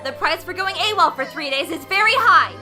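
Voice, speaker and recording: A woman's voice: extremely high pitch, animated, fairly quick, pushed and bright, soft background music, full character voice. A woman playing a bossy, hot-headed teenage girl club leader in an anime fan dub, brash and demanding. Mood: bossy, boastful. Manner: brash, demanding, self-important.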